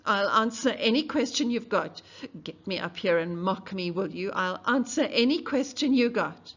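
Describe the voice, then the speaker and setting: A woman's voice: normal speed, a bit bright, close to an everyday voice. A woman voicing a frightened, defiant young witness, stammering and defensive. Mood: agitated. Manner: shrill, stammering, defensive outbursts. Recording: dull lo-fi recording.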